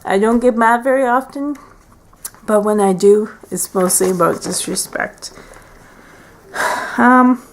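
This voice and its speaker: Slow, gravelly-voiced woman in her forties. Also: on the high side, noisy background. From Canada. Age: 40s. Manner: hesitant, many fillers and restarts. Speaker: beauty vlogger. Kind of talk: casual tag video chat. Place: at home by a window.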